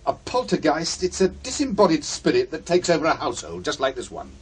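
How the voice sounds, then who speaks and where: An eager maker of ghost programmes in a radio drama. His fairly high male voice moves about with animated intonation. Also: a little fast, far from the mic. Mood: keen. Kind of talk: radio play acting.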